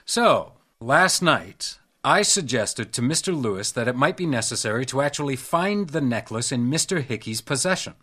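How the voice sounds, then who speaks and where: An actor in a radio drama playing a shrewd, composed hotel house detective, speaking and narrating in a measured, wry way. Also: male voice, medium pitch, lively intonation, pushed and bright, breathy edge. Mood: calmly probing.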